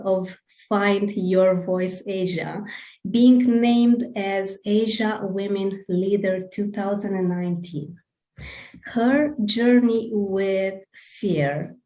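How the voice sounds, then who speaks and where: A woman hosts a live podcast over a video call, reading her introduction slowly and earnestly. Her voice is mellow and dark. The sound is roomy and echoey. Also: medium pitch. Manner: slow, deliberate and earnest. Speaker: podcast host. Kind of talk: scripted introduction and interview questions.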